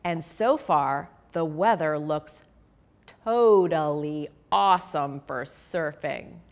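A woman's voice: sits low, slow, voice pushed down. A woman voicing an earnest, eager young male frog weather forecaster in a storybook, announcing like a newscaster. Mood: delighted. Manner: bright-eyed newscaster announcing.

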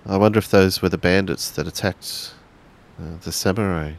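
A man's voice: sits low, moderate pace, gravelly. A man playing a polite, hesitant samurai archer in a tabletop game. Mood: pondering. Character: Daisuke, wounded male samurai archer, courteous and concerned.

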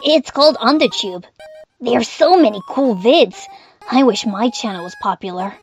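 A woman's voice: a little high, animated, normal speed, faint music behind. A woman voicing an earnest, easily exasperated young child in a comedic comic dub, hesitant and pleading. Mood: wistful, uneasy.

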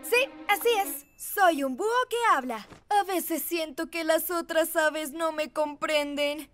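Magical talking owl: very high, slow-paced, boastful and theatrical, for children's animation over loud music. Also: female voice. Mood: friendly. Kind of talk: children's cartoon dubbing.

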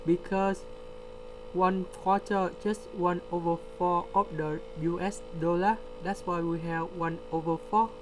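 Brief: male tutor, slow deliberate walkthrough, mains hum under the voice. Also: high voice. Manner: slow, step-by-step explaining with pauses. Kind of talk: screen-recorded math lesson. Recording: steady electrical hum behind.

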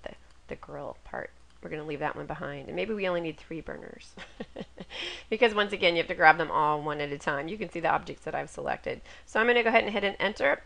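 A middle-aged woman, a little raspy from a cold, hosts a chatty, upbeat software tutorial over a screen recording with a webcam inset. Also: fairly low pitch. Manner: chatty and upbeat, laughs at her own jokes. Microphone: webcam inset over screen capture.

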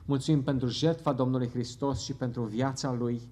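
A middle-aged man leading a closing church prayer at a pulpit microphone, earnest and fervent, pausing now and then. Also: mid-range voice, fairly even intonation, dark tone. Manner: earnest, fervent, halting at times. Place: church hall with wood paneling.

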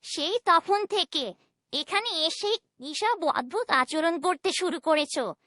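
A cheeky young cartoon daughter with a very high, sharp voice. Her sassy, teasing delivery swings around animatedly. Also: lively intonation, a little slow, dry recording, clean studio sound, exaggerated voice. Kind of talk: animated Bengali cartoon voice acting.

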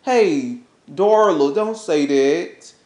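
A woman acts out a guilty, apologetic boyfriend in a relationship melodrama. The voice drops well below the performer's own and moves slowly, with halting, placating but firm pleas. It sounds roomy and echoey. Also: deep voice, lively intonation, a little mellow, pitched below the performer's own voice, exaggerated voice. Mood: pleading. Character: pleading, apologetic man, the cheating boyfriend.

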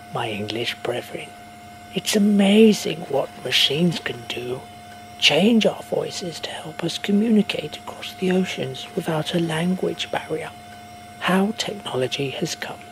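An actor playing a solemn, burdened doctor in an audio drama monologue, grave and measured, sometimes hushed. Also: male voice, on the high side, creaky, low-quality recording. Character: solemn, burdened male doctor recording a last message. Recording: faint hum behind voice.

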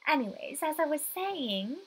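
A woman's voice: high voice, big pitch swings, moderate pace, voice pushed up, low-quality recording. A woman voicing a poised, possessive wealthy wife in a visual novel, with drawling, condescending sweetness. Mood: sugary.

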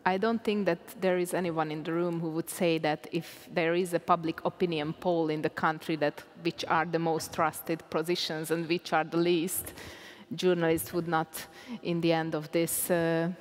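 A woman, in a lowish voice. She answers from a stage, stops and restarts as she hunts for words. The sound rings with the long reverb of a large hall. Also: flat delivery, moderate pace. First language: Hungarian. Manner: searching for English words, many restarts. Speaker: panel guest, journalist. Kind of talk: panel discussion answer.

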